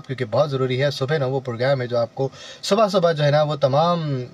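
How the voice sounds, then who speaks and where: High-pitched Pakistani male radio host. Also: dull audio. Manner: chatty, courteous, repeats advice. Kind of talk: live morning call-in radio show.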